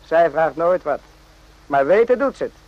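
An actor in a radio play voicing a brooding, rambling soldier who muses haltingly and repeats himself. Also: male voice, a little high, animated, highs cut off, lightly acted. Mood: chatty, defensive. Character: brooding, rambling soldier, former circus night watchman.

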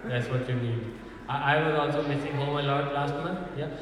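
A young man with an Indian accent does stand-up, teasing the audience drily with pauses between lines. His voice is on the higher side and sounds distant in a big echoing hall, with audience noise behind him. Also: fairly even intonation, a crowd in the background. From North India. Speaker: stand-up comedian. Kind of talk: stand-up comedy with audience banter. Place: echoing church hall at a festival.

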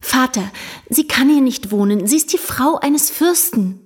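An actress playing an outspoken, imaginative young daughter in a period radio drama, forthright and excitable. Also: female voice, sits high, measured pace, slightly rough, heavily acted. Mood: insistent.

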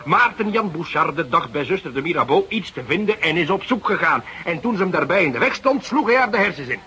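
An actor playing an eager, impulsive young police detective in a radio drama, speaking emphatically. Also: male voice, on the high side, sing-song, fairly quick, bright, forceful tone, husky, heavily acted. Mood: impatient, insistent. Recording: noisy radio drama recording.

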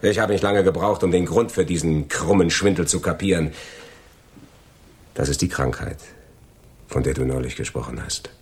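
An actor playing a cold, gloating criminal in a radio crime drama, taunting and deliberate in his delivery. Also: low-pitched, darkish tone, husky. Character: cold, gloating male criminal double-crossing his accomplices.

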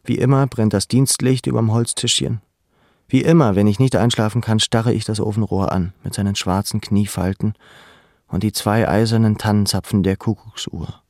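An actor playing a young, melancholy camp prisoner in a measured, introspective radio drama narration. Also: male voice, on the low side, a little mellow, no room sound, studio quality. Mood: somber, dreamlike. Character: young deported male labour camp prisoner, melancholy, watchful.

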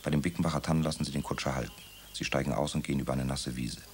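Super deep gravelly guy narrating a radio play, quick and flat, bit of hiss behind.

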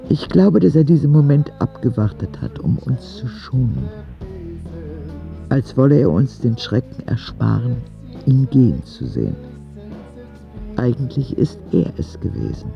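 A man's voice: medium pitch, gravelly, muffled recording. A man playing a grieving old woman in a radio drama, reading her tender letter to God in a plain, intimate way.

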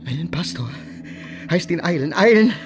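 A man's voice: on the high side, animated, moderate pace, music in the background, natural voice. Man acting a raving, terror-stricken villain nobleman, wildly swooping voice. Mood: dread, haunted. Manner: feverish, erratic, lurching from hushed trembling to frantic cries. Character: guilt-tormented nobleman villain, terrified, raving, descending into madness. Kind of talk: German radio drama acting, theatrical classical monologue.

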